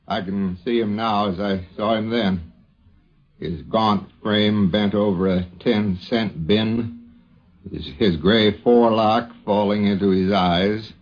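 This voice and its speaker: An older man reminiscing as an interviewee in a deliberate, literary way. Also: fairly low pitch, fairly even intonation. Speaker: interviewee recalling an old friend. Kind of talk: measured spoken reminiscence. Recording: dull 1950s radio recording.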